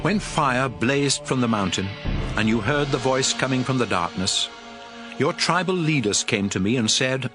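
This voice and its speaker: A man's voice: mid-range voice, animated, moderate pace, music in the background, lightly acted. An actor playing a solemn, commanding male prophet who addresses his people with emphatic oratory in a dramatized audio Bible.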